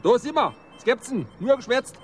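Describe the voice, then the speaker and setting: A cheerful, beer-loving rocket pilot from the in a radio play. He chats loosely in dialect with a high voice, in a roomy space with a faint engine hum. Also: very animated, moderate pace.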